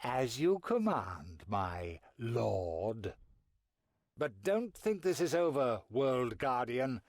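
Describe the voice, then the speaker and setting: An actor playing a sly, spiteful villain in a fantasy game, with a mocking, theatrical drawl. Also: male voice, medium pitch, very animated, drawn-out words, darkish tone, dry recording, clean studio sound, exaggerated voice. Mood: threatening, sly. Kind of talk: scripted fantasy game dialogue.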